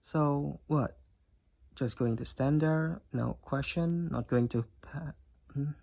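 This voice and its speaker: A woman's voice: low-pitched, slow pace, warm tone, full character voice. A woman playing a cocky, teasing demoness college roommate and gamer in a roleplay audio drama, with a wry, theatrical delivery. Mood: amused, sardonic. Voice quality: raspy, creaky. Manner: teasing, wry, theatrical, addresses the listener as Sugar.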